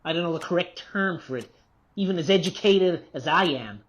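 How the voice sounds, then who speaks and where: A woman's voice: lowish voice, lively intonation, a little fast, lo-fi sound, close to an everyday voice. A woman playing an unhinged, sarcastic male author in a radio play, taunting and erratic, swinging from muttering to outbursts.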